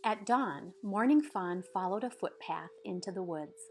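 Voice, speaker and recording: Woman reading a picture book story out loud, measured and expressive, pauses for drama, bit of music behind. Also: mid-range voice. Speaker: storybook reader. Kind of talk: read-aloud storybook narration. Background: background music.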